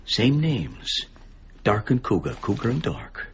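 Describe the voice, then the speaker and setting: Deep-voiced anxious small-town father. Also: huge pitch swings, slow pace, creaky, a little hiss or hum, heavily acted. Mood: urgent, conspiratorial. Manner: hesitant, stammering, earnest. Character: anxious, protective middle-aged small-town librarian father. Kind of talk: radio drama acting and first-person narration. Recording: muffled radio broadcast with faint music.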